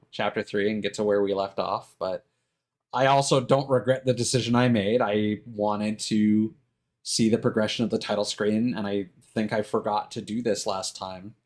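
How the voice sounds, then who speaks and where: A man chatting casually as a live streamer, rambling and thinking aloud with hesitant self-corrections. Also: fairly low pitch.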